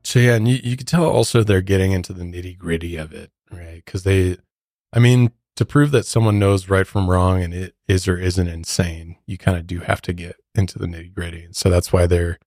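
A man's voice: sits low, steady tone, a little fast, a little mellow, no echo, studio quality. A man co-hosting a podcast, explaining things in casual chat. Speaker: podcast co-host.

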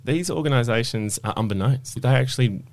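A man's voice: mid-range voice, brisk, dry recording. A man explaining his fundraising work earnestly on a podcast. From Australia. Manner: earnest, explanatory, starts with "so look". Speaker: guest, fundraising manager. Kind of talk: podcast interview.

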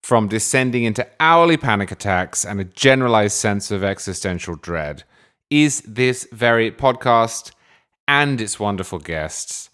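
A man's voice: lowish voice, animated, a little slow, fairly bright tone. A man hosting his podcast with a dry, wordy, mock-grandiose manner before interviewing a guest. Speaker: podcast host. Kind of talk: scripted comic monologue and interview.

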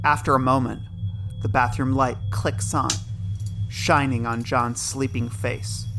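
Narrator reading a horror teleplay over prominent music. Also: medium pitch. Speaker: narrator reading stage directions.

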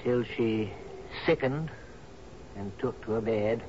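An actor playing a loyal, plain-spoken retainer to a sea captain in a 1970s radio drama, terse and guarded. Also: male voice, on the low side, slow. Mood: sombre. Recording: muffled, hissy old radio broadcast.

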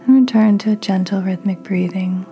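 A woman podcast host leading a guided meditation in a gentle, soothing, breathy near-whisper. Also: mid-range voice, fairly even intonation, a little fast. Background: soft music.

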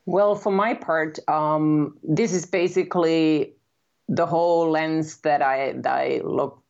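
Low-voiced Finnish woman author. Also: fairly even intonation, slow, creaky, darkish tone, muffled recording. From Finland. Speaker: author guest. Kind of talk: interview on a podcast.

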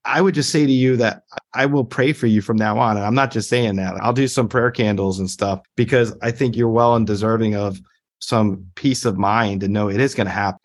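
A male podcast host talks with a guest in a casual interview. He speaks quickly and sounds earnest and sympathetic as he asks his questions. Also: fairly low pitch, fairly even intonation. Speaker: attorney.

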